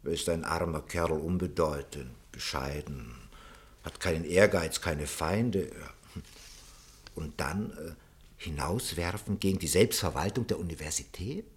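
A fawning, scheming minister. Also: male voice, low voice, measured pace. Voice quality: obsequious, sly, chuckling. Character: scheming corrupt male education minister, fawning. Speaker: minister Branesco. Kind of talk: 1950s-60s radio play acting.

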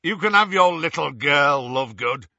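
A man voicing a brutal, sneering dark wizard in an audiobook, with a rough, menacing, mocking delivery. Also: on the high side, expressive, normal speed, dry recording, dull audio, voice pushed up, exaggerated voice. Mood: threatening.

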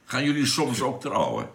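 A man playing a reflective space traveller in a radio drama, speaking in a measured, deliberate way. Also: mid-range voice, vocal fry, a bit bright, husky, exaggerated voice. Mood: amused, curious. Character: reflective male space traveller narrating his memoir.

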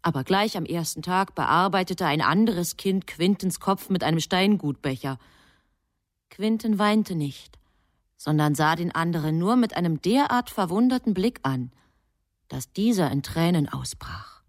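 Radio play narrator: a composed angel, lowish voice, matter-of-fact, close dry studio sound. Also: female voice, expressive, a little slow, dry recording. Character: composed angel reporting a human boy's life to a superior. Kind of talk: scripted narration in a radio drama.

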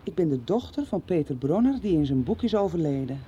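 A formal, earnest young woman who organizes everyone's escape. Her voice is mellow and soft-edged. It is a lo-fi 1990s radio soap with faint street noise behind. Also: sits low, very animated, a little fast. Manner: earnest, formal, taking charge and making plans. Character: earnest young woman who was hidden as a child, now protective.

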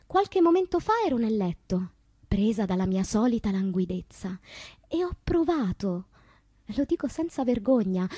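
An actress playing a sensual, unashamed woman poet in a deliberate, intimate theatrical monologue about her inner life. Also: medium pitch, darkish tone, dry recording, natural voice. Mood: confessional, languid. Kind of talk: theatrical literary monologue with sung passages.

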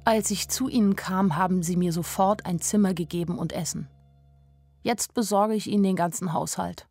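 Low-voiced, candid young woman narrator. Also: moderate pace, a little mellow, no room sound, soft background music. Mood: candid, wry. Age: young adult. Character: candid, naive, watchful nineteen-year-old young woman. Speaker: actress narrating as the main character. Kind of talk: radio drama first-person narration.

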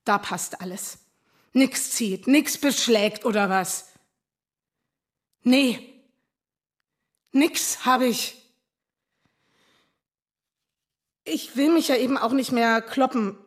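A woman's voice: a little high, slow pace, long pauses. An actress playing a coarse, short-tempered woman on probation who talks bluntly. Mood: weary. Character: rough-mouthed young woman on probation, blunt. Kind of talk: radio drama acting.

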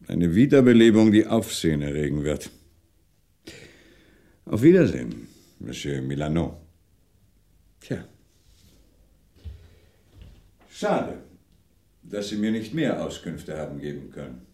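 An actor playing a shrewd French police commissioner in a 1960s German radio crime drama, questioning in a measured way with pointed pauses. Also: male voice, extremely low pitch, big pitch swings, dark tone, heavily acted. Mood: quietly menacing.

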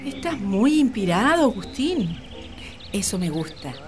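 A woman's voice: medium pitch, very animated, unhurried, over music. A woman playing a genteel, easygoing middle-aged mother and hacienda mistress in a radio drama. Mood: coy.

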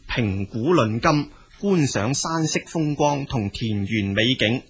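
A man's voice: mid-range voice, a bit bright, slightly rough. A man with a Cantonese accent narrating as a storyteller, in a colloquial spoken style.

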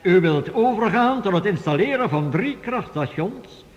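A man's voice: high voice, animated, moderate pace, highs cut off. An actor playing a formal, authoritative chairman who keeps order at an assembly in a radio drama. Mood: formal, courteous. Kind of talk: Dutch radio drama acting, formal speech. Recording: long reverberant hall.

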